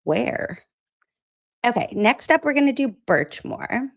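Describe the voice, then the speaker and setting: A woman's voice: fairly high pitch, big pitch swings, slow pace, gravelly. Sarcastic woman hosting political commentary. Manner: sarcastic, incredulous; chatty, laughs often. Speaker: host.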